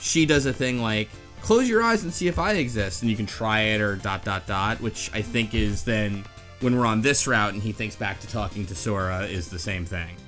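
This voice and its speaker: A man's voice: fairly low pitch, moderate pace, a bit bright. A man hosting a casual podcast discussion of a game's story, explaining things in a hesitant, rambling way. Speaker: podcast host. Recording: music under the voice. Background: music.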